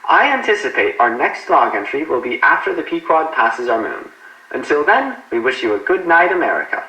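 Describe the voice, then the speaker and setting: A man's voice: fairly high pitch, sing-song, a little fast, pushed and bright. Composed, echoey space captain, played by a man. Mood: businesslike. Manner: formal, commanding, encouraging. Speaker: ship's captain. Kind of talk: analog horror space audio drama. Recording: roomy echo.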